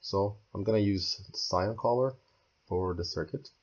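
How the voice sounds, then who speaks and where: A hoarse man who is losing his voice, walking through a game build step by step and thinking aloud as he goes. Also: on the low side, unhurried, muffled recording. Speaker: game tutorial creator. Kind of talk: screen-recorded building tutorial.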